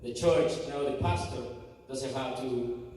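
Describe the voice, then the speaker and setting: A man from Guatemala with a Guatemalan Spanish accent, a native Spanish speaker, giving a halting church testimony full of fillers and restarts. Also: mid-range voice, darkish tone. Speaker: mission team member giving a church report. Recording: echoey church hall from far off.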